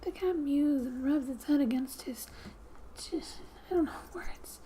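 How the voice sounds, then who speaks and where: A soft-spoken woman doing ASMR gaming commentary, gently reading story text aloud and often dropping to a whisper, in an unhurried way. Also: sits high, slight background noise. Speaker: video game streamer. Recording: quiet background music. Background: soft music.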